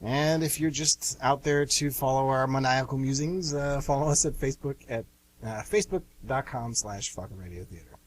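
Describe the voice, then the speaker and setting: A man's voice: medium pitch, moderate pace. A man co-hosting an amateur radio theater show, addressing listeners informally.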